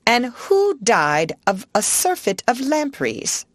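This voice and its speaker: A woman's voice: fairly high pitch, huge pitch swings, slow, dry recording, voice pushed up, full character voice. A woman voicing a reflective young schoolgirl who grumbles about her relatives. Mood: grumbling. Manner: reflective and complaining.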